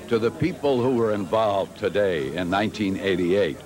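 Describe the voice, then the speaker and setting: A man's voice: on the low side, normal speed. A man in his seventies, a retired talk show host, giving reflective interview answers. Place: busy restaurant. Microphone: handheld interview microphone. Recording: clear crowd noise behind.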